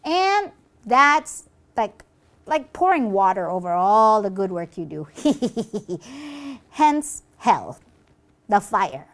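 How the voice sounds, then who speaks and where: A woman's voice: mid-range voice, very animated, very slow, a bit bright, voice pushed down, full character voice. A woman voicing a cocky, taunting little devil minion in a puppet show, mocking and boastful. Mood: scolding, gloating. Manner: mocking, dismissive, gleefully boastful.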